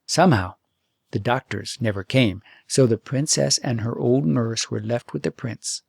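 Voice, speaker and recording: A man narrating a fairytale audiobook in an expressive, deliberate storytelling manner. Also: mid-range voice, creaky, slightly rough, dry recording, studio quality.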